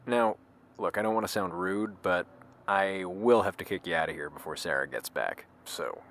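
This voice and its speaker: A man's voice: low-pitched, normal speed, a bit bright. An actor playing an easygoing, wry man in informal, slightly hesitant audio drama conversation. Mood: casual, wry. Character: easygoing, slacker-ish man meeting his identical double.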